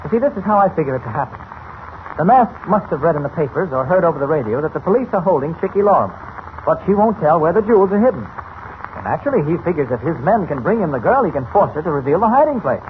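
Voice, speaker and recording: Confident reporter hero, quick high-pitched delivery, assured, 1940s radio adventure, thin vintage sound. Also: male voice, very animated. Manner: assured, brisk explaining. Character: confident, brisk male newspaper reporter hero. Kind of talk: 1940s radio serial acting. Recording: scratchy, tinny old radio recording.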